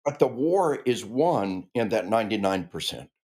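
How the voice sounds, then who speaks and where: A man's voice: on the low side, sing-song, normal speed, a little mellow, slightly rough. A man in his sixties who grew up in the American Midwest, a retired army officer speaking earnestly and emphatically as a podcast guest. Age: 60s. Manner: earnest and emphatic, with frequent restarts. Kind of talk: conversational interview.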